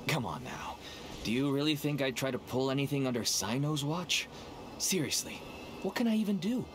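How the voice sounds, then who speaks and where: A man's voice: medium pitch, sing-song, normal speed, lightly acted. A man voicing a nervous, wheedling middle-aged father and dealer in a game, with a persuasive manner. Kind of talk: game voice acting. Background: game music.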